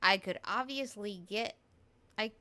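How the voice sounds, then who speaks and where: A woman's voice: mid-range voice, a little slow, bright, forceful tone, slight background noise. A woman voicing a proud, arrogant dragon in video game dialogue, with a boastful, threatening manner. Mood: haughty. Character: haughty, proud dragon who lost its powers.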